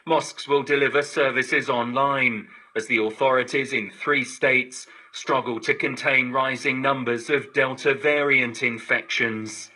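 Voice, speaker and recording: A man's voice: medium pitch, fairly even intonation, a little slow, hardly any pauses, bright, forceful tone, low-quality recording. A man reading a scripted news report as a correspondent, over digital shortwave radio.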